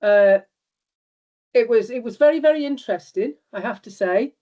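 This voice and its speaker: A woman with a cold and a slightly squeaky voice giving a steady spoken report to a meeting over a remote call. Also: normal speed. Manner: reports steadily, with some ums and restarts. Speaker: regional covenant liaison officer. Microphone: remote video call. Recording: dull remote call audio.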